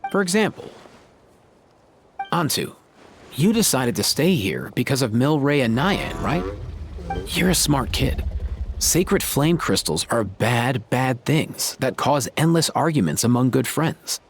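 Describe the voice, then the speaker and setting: Chill young beast-tamer, slangy, lively intonation, game cutscene. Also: male voice, mid-range voice, measured pace, slight background noise. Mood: easygoing. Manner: laid-back, slangy.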